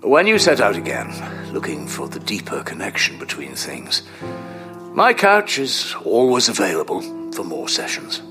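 A man playing a measured, fatherly older professor in an audio drama, speaking in a deliberate, erudite way. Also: sits low, wildly swooping pitch, loud music behind the voice, exaggerated voice. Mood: reassuring.

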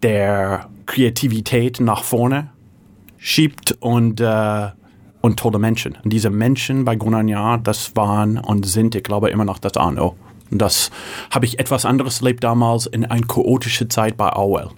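A middle-aged man from San Francisco, a native English speaker with an American accent, answering interview questions in halting German with many fillers and restarts. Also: lowish voice, fairly even intonation. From San Francisco, USA. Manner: halting German with frequent fillers and self-corrections. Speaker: guest, tech executive.

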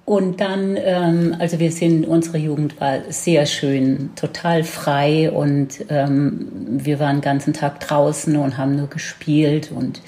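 A woman's voice: low voice, level tone, dark tone. Older woman with a Baden accent. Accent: Baden regional German. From near Heidelberg, Germany. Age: 70s. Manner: reminiscing, unhurried, with laughs. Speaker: podcast guest, grandmother. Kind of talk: casual storytelling.

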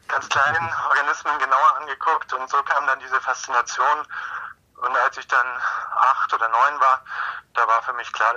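A man from Munich, a marine biologist guest, explaining slowly with many hesitations and restarts. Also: mid-range voice, bright, forceful tone, muffled recording. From Munich, Germany. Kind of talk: explanatory interview answers.

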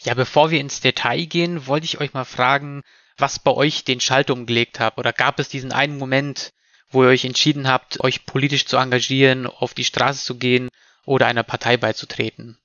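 A man hosting a discussion podcast, speaking in a measured, formal and articulate way. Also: medium pitch, steady tone, bright, forceful tone, no room sound, muffled recording. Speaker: podcast host moderating a panel. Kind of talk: scripted introduction, moderated discussion.

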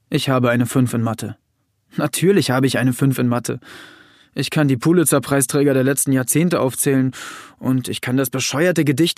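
A man's voice: medium pitch, a little mellow, no echo, close to an everyday voice. A man as an anxious teenage diarist, dry close sound. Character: anxious, self-deprecating teenage boy's diary voice. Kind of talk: diary narration in audio drama.

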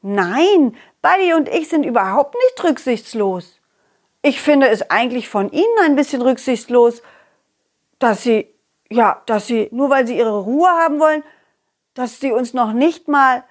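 Woman as an animated, high-voiced excitable dog. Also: measured pace. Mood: indignant, pleading. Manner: animated, giggly, childlike enthusiasm. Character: confident, excitable, loyal young dog hero telling stories. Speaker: puppeteer and storyteller. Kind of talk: children's audio play narration, addressing listeners.

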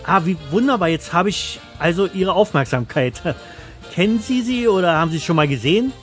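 A man's voice: high voice, expressive, normal speed, faint music behind, voice pushed up. A man playing a dull, anxious bookkeeper in an audio story, earnest and insistent with a hesitant delivery. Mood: polite, urgent. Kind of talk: first-person audio story.

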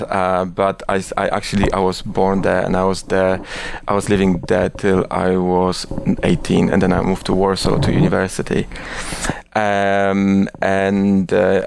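A man's voice: deep voice, vocal fry, background noise. A middle-aged man with a Polish accent giving conversational interview answers, often restarting and repeating his words. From Poland. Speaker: interview guest, advertising executive. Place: office.

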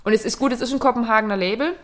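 A woman's voice: on the high side, rapid-fire. Rambling woman, podcast co-host. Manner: rambling, hesitant, many fillers. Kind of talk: casual chat.

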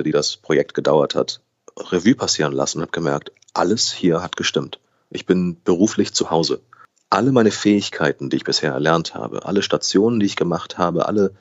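Deep-voiced young man in interview. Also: dull audio. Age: 20s. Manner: thoughtful, restarts and self-corrections. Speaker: guest. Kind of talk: conversational interview.

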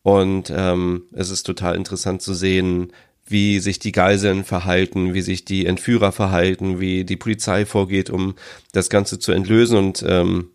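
Deep gravelly man with a cold. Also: level tone, moderate pace. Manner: hesitant, many ums and uhs. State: has a cold. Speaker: podcast host. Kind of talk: casual solo podcast chat.

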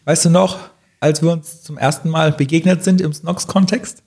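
A man hosting a podcast in a chatty, friendly way, slightly hoarse from a cold. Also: a little high, fairly even intonation, moderate pace, darkish tone. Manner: chatty, friendly, fillers like "gell" and "ne". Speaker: podcast host. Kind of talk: casual podcast chat.

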